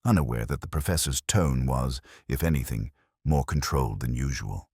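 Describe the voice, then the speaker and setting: A man playing a brooding, world-weary police inspector who narrates his case in solemn, measured, literary prose, like a melancholy detective audio story. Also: very deep voice, no echo, studio quality, heavily acted. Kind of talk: scripted first-person detective story narration.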